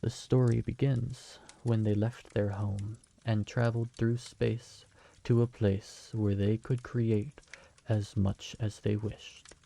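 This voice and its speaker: A man playing a calm, patient storyteller telling a bedtime tale in a fantasy audio drama. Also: on the low side, takes it slowly, creaky, exaggerated voice.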